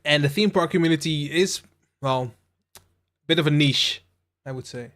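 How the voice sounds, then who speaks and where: A young man from the Netherlands hosting a relaxed game livestream, rambling with lots of fillers and asides to his chat. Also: fairly high pitch, normal speed. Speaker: livestream host. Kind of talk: casual live-stream chat while playing a game.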